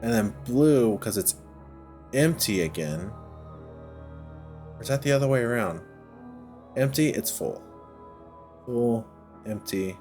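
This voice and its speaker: A man's voice: medium pitch, lively intonation, slow pace, long pauses, a little mellow, faint music behind. A man giving casual gameplay commentary, thinking aloud in a hesitant, self-questioning way as he works through a puzzle game. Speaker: video game playthrough host.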